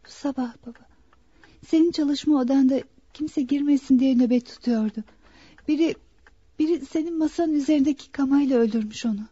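An actress playing a devoted, frightened and emotionally fragile young daughter in a dramatic radio play. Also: female voice, high-pitched, brisk, warm tone, no room sound, dull audio, natural voice. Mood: grieving, hesitant.

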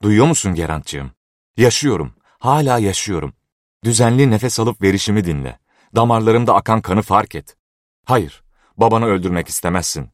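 A man's voice: on the low side, dry recording, studio quality, natural voice. A man doing a feverish old clockmaker. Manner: feverish, pleading. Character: obsessed old male master clockmaker, pleading.

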